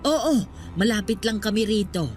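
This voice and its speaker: A woman's voice: medium pitch, animated, moderate pace, a little mellow, lightly acted. Casting: feisty Filipina wife in her sixties, street vendor, plain-spoken and argumentative, a woman acting in radio drama, music underneath. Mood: friendly. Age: 60s.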